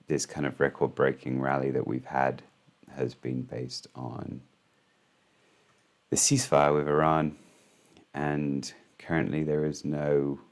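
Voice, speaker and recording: A hoarse man hosting a trading channel, walking through the morning's market in casual commentary, halting with frequent ums and restarts. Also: rumbling low.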